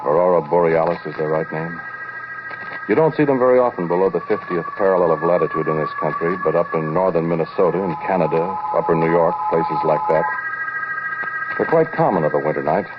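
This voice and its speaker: Deep voiced guy playing a creepy knowing scientist telling his tale, sardonic and intimate, old muffled radio show. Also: heavy background noise, natural voice. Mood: hushed wonder. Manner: intimate, deliberate, sardonic, addressing the listener directly. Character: ominous, knowing male scientist confiding a strange tale. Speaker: narrator and lead actor in a radio play. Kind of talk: 1940s radio drama narration. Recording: muffled vintage 1940s radio recording.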